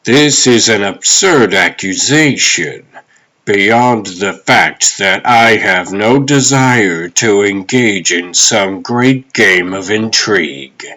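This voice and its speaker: A man's voice: lowish voice, lively intonation, takes it slowly, sharp tone, exaggerated voice. A man voicing a smug, sardonic villain baron in a comic dub, drawling and dismissive in a theatrical way. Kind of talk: comic dub character acting.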